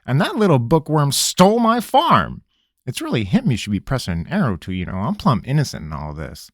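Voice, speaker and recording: A man voicing a nervous, rambling young farm-boy mage with a high, twangy, folksy voice. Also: huge pitch swings, fairly quick, fairly bright tone, dry recording, clean studio sound, heavily acted. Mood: rambling excuses. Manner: jittery, wheedling, folksy.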